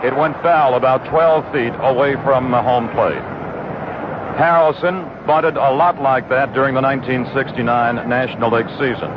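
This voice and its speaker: A man with an American accent calls a baseball game in short, measured phrases. His voice sits at a medium pitch, heard through a dull old radio broadcast with very loud stadium noise behind him. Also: steady tone. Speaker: baseball play-by-play announcer. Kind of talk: live radio sports play-by-play. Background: loud stadium ambience.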